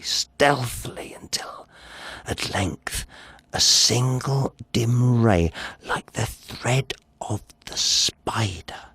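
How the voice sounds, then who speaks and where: A man's voice: low voice, husky, no echo, heavily acted. A man playing a nervous, obsessive madman confessing a murder, in a theatrical gothic horror monologue. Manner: theatrical, slow, swinging from hushed to agitated.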